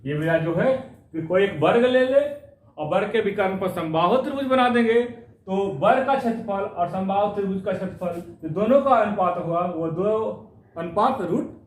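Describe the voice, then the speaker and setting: A man's voice: high voice, expressive, moderate pace, far from the mic. A man teaching an exam-prep maths lesson, working through each problem step by step and repeating phrases as he goes. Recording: echoey room, voice off-mic.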